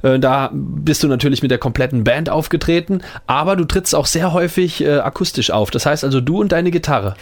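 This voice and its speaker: A man's voice: fairly high pitch, fairly quick, hardly any pauses. Easygoing male radio host. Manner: easygoing, conversational, asks leading questions. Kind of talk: radio interview.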